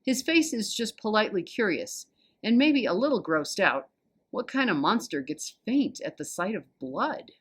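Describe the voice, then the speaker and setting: A woman with an American accent reading a children's novel aloud as an expressive storyteller. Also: mid-range voice, normal speed, breathy edge. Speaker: volunteer storybook reader.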